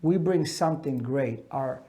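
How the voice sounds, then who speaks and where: A middle-aged man from Ecuador coaching veterans in a seminar talk, earnest and emphatic, his voice swinging between loud and soft. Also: mid-range voice, a little slow. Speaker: military transition coach.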